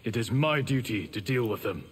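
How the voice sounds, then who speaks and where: An actor playing a proud, defiant warrior in a fighting game, with a husky, gravelly voice and curt delivery. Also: male voice, fairly low pitch, animated, normal speed, big-room sound, slight background noise, close to an everyday voice. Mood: grim. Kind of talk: fighting game character dialogue.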